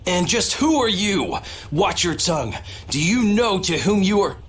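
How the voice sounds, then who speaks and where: A man's voice: high-pitched, lively intonation, a little slow, a bit bright, soft background music. A man playing a haughty, indignant aristocratic attendant in a video game, with a stiff, pompous manner. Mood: indignant, defensive. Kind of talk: English video game dub.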